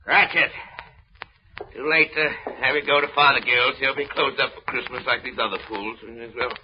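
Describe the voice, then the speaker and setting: A man's voice: mid-range voice, steady tone, fairly quick, lightly acted. Grumbling old miser, played by a man, muffled radio sound. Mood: grudging, sour. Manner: curt, grumbling, scornful. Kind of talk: 1930s radio drama acting. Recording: muffled, noisy 1930s radio recording.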